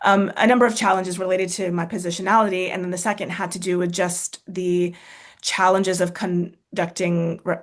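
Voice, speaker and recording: A woman's voice: lowish voice, little pitch movement, normal speed. An American-born woman, author and speaker, answering questions in a casual talk, thoughtful, with many fillers, restarts and tag questions. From United States.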